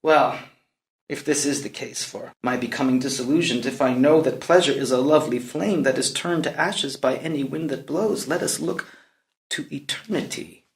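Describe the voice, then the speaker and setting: An actor playing a tormented, passionate young prince in a classical stage drama, declaiming and brooding between hushed lines and outcries. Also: male voice, medium pitch, lightly acted. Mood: brooding resolve. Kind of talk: classical stage soliloquy, unrehearsed read. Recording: roomy echo around the voice.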